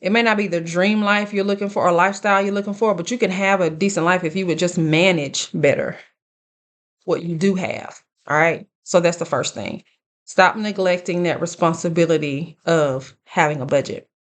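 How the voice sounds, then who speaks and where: A woman in her late thirties giving casual, earnest life advice straight to her viewers, speaking deliberately and checking in with them. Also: fairly low pitch. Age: late 30s. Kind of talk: casual direct-to-camera advice talk.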